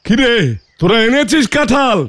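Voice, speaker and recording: Very high-voiced, grumbling cartoon husband. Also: male voice, animated, moderate pace, noisy background. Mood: curious. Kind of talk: Bengali comedy cartoon acting. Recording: music behind the voice.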